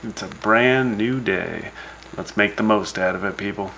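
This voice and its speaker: Man playing a gravelly low-voiced retro radio host. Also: a little slow, rough recording. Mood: upbeat.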